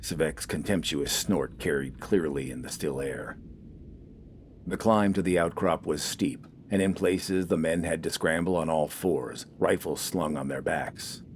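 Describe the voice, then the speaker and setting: A man's voice: rumbling low, a little slow, slight background noise. A man reading scripted fiction as an audiobook narrator.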